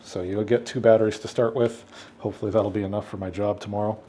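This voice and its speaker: A man's voice: lowish voice, little pitch movement, fast talker, mellow, a little hiss or hum. A middle-aged man hosting an unboxing, talking casually and unscripted, thinking aloud as he goes. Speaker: unboxing video host, AV professional.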